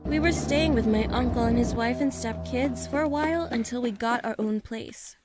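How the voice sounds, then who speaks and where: Higher-voiced young woman telling a scary story. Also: moderate pace, loud music behind the voice. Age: 20s. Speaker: narrator. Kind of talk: scripted first-person horror narration.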